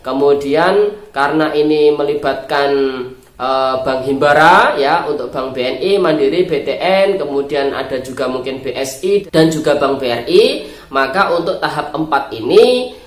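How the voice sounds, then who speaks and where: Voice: mid-range voice, moderate pace. Nasal, congested Indonesian YouTube host, echoey room. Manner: addresses viewers repeatedly, explanatory. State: stuffed-up nose from flu. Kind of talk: informational vlog narration, reading out an official letter. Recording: roomy echo on the voice.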